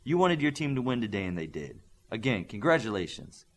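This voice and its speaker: A man's voice: on the low side, sing-song, brisk. A man voicing a plain-spoken, ageing superhero team coach in an audiobook. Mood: cordial.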